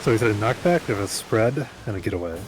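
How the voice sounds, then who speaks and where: A man's voice: fairly low pitch, animated, quick pace, noisy background. A man guiding friends through a game raid in casual, matter-of-fact chat. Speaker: experienced gamer guiding the group through a raid. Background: game music.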